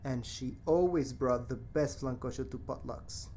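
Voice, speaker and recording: A man's voice: medium pitch, measured pace, mellow, a little hiss or hum, close to an everyday voice. A man playing a guarded, matter-of-fact morgue technician who is grieving a friend, in an audio drama.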